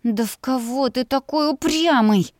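A woman voicing a proud, fiery young heroine, sharp, scolding and defiant, in an audiobook story. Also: sits high, expressive, unhurried, no room sound, clean studio sound, voice pushed up. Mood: angry, defiant.

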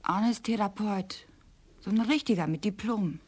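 An actress playing a distraught wife who confesses in a halting, emotional way in a radio play. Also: female voice, mid-range voice, a little mellow, natural voice.

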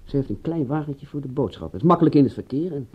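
A man playing a thrifty, sceptical associate of a detective in a radio drama, speaking in an urbane, animated, husky voice. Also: medium pitch, fast talker. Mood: thrifty, musing. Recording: dull, muffled old radio recording.

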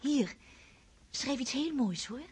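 Breathy, eager young Natasha. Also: female voice, high-pitched, expressive, slow, darkish tone, heavily acted. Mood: chatty. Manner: girlish, eager, often whispering.

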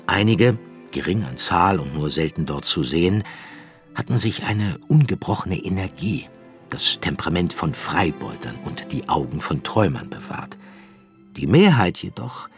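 Deep-voiced male radio play narrator.

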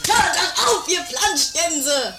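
Exasperated, nagging companion voiced by a woman, very high pitch, scolding, home-made comedy radio play with loud music. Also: expressive, slow pace, full character voice. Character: Heini, squeaky, exasperated, squabbling household companion.

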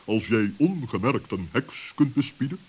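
A man playing a cautious, wise owl with a deliberate, pompous way of speaking in a children's radio play. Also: mid-range voice, lively intonation, darkish tone, breathy edge. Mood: advising.

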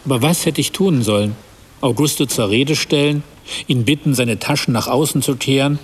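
A man's voice: mid-range voice, moderate pace, breathy edge, close to an everyday voice. An actor playing a troubled husband and father, narrating a radio play as a reflective, self-reproachful confession. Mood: rueful, brooding. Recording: long reverb, faint background noise.

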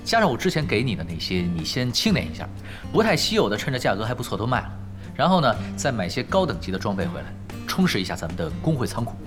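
An actor playing a laid-back, skilled male pro gamer, calm and focused, in a Chinese audio drama. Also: medium pitch, fast talker, music in the background, natural voice. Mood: casual, businesslike.

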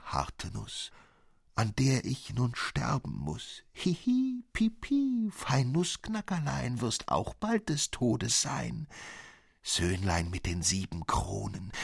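Man voicing a squeaky, vengeful dying mouse queen. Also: very animated, vocal fry, breathy edge, dry recording, studio quality, full character voice. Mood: dying lament. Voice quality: squeaky, piping. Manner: drawn-out, wailing verse.

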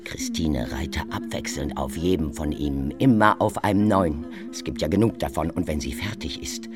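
An actor playing an insinuating courtier in a radio drama, spreading scandal with mock discretion. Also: male voice, rumbling low, lively intonation, normal speed. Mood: gossiping, insinuating. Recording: loud music over voice.

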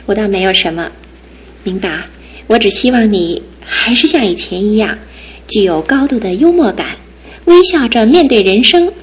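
A soft, breathy, gentle young wife. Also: female voice, medium pitch, moderate pace, rough recording. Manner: soft-spoken, calm, gentle. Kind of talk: radio drama acting.

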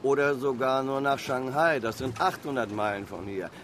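A gruff, practical harbour pilot, as a man plays him in a radio play. He talks bluntly and warily, with clear noise from the harbour and the water running behind him. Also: medium pitch, normal speed, fairly bright tone, close to an everyday voice. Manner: blunt, down-to-earth, wary.

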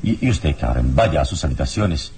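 An actor playing a calm, commanding heroic adventurer in a radio serial, speaking in a measured, formal, authoritative way. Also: male voice, a bass voice, exaggerated voice. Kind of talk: radio serial drama acting.

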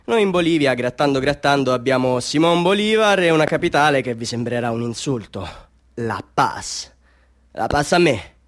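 An actor playing a chatty, self-mocking Bolivian street photographer hustling tourists, with ingratiating, mock-grand patter in a theatrical radio monologue. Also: male voice, medium pitch, lively intonation, moderate pace, close to an everyday voice. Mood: mocking, animated. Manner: showman patter, ingratiating, mock-grand, swinging into wry asides.

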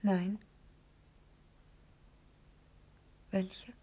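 An actress playing an ardent, pleading young noblewoman in a classical verse radio drama, fervent and declamatory, often dropping into a breathy whisper. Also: mid-range voice, flat delivery, very slow, dark tone. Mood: hushed, tender.

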